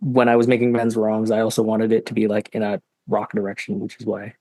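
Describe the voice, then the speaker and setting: A young man, a singer-songwriter guest in a podcast interview, talking in a soft-spoken, hesitant way with lots of "like". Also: on the low side, completely flat delivery, a little fast, no room sound. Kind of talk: casual interview chat.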